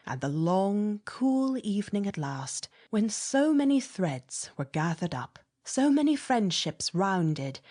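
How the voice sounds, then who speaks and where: A woman narrating classic literary fiction as a dramatic audiobook reading, expressive and measured, with theatrical emphasis. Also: fairly low pitch.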